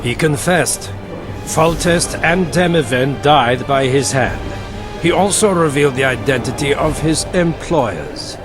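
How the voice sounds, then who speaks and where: An actor playing a calculating imperial envoy, formal, deliberate and theatrical, in a fantasy game cutscene. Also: male voice, fairly high pitch, lively intonation, music in the background. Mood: accusing. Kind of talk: dramatic video game cutscene acting.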